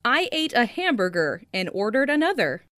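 A woman reading short example sentences with careful, deliberate enunciation for a listening lesson, in a dry studio voice-over. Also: a little high, big pitch swings, fairly bright tone, no room sound. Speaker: listening practice speaker. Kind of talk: slow read example sentences.

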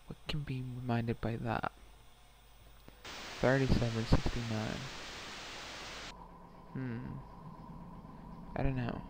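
A young man talks through a horror game at an unhurried, drawn-out pace, muttering reactions and asides to viewers. His voice sits at an ordinary middle pitch. A steady hiss of noise runs under his headset recording from home. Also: vocal fry. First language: Swedish. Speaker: gamer. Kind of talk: let's play commentary. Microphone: headset mic.

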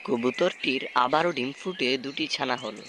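A man narrating a children's animated folk tale in a scripted storytelling style. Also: medium pitch, moderate pace, noisy background.

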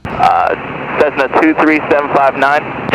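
A male pilot makes calls to air traffic control. His fairly high voice comes through an aircraft radio with noticeable noise. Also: expressive, slow. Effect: aviation radio. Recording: noisy aircraft radio transmission.

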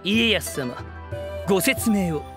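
A man playing a polite, stern, scholarly young shogun in an anime voice drama, formal and measured. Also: high-pitched, expressive, over music, exaggerated voice. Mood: earnest, explanatory.

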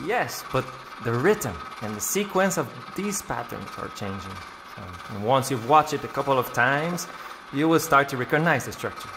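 An actor playing an obliging art museum worker in an audio drama, explaining things informally and a little hesitantly in naturalistic dialogue. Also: male voice, medium pitch, lively intonation, moderate pace, background noise, lightly acted. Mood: informative.

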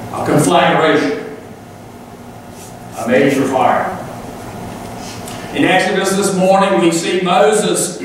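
A man's voice: a little high, far from the mic, background noise. Man preaching in an echoey sanctuary. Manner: folksy storytelling with dry humor. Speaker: pastor. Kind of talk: sermon. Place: large church sanctuary. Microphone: far camera, room pickup.

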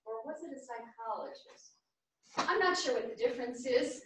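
A woman's voice: on the high side, lively intonation, normal speed, background noise, close to an everyday voice. Echoey stage actress as a wry, chatty woman. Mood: wry exasperation. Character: chatty woman, a lonely veteran of therapy. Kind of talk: staged play reading. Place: theatre stage, indoors. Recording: echoey theatre stage from far off.

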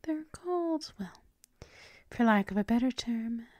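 Seductive female vampire mistress , played by a woman for ASMR roleplay: whispered, mellow, higher-pitched, possessive and purring. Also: animated, dry recording, studio quality. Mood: flattering, seductive. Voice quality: breathy whisper. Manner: slow, purring, lingering with hums.

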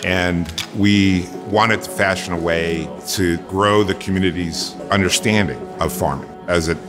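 A middle-aged man from Brooklyn with a deep voice talks in a documentary interview as a farm owner. Music is clearly heard under his words. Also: steady tone, unhurried. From Brooklyn, New York.

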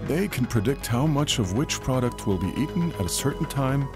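A man reading scripted documentary narration in a measured, informative way. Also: medium pitch, lively intonation. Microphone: studio voice-over. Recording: narration over clear music. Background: music bed.

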